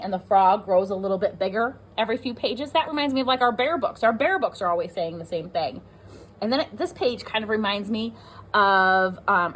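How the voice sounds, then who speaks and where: A woman teacher reading aloud to pre-kindergarten children, expressive and sing-song, stressing rhyming words and pausing to talk to her listeners. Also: a little high. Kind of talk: animated children's read-aloud with asides.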